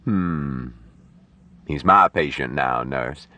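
A man voicing a gruff, sardonic old doctor who gives curt orders and dry wisecracks in an audiobook. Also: extremely low pitch, slow, pushed and bright, exaggerated voice. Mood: brisk, dry.

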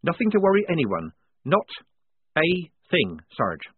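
A man's voice: high-pitched, huge pitch swings, moderate pace, slightly rough, no echo, pitched above the performer's own voice, full character voice. A man voicing a tense young woman soldier. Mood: hurried. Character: young woman soldier, quick-witted, defensive. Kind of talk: audiobook character voice. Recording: dry, tinny phone-like sound.

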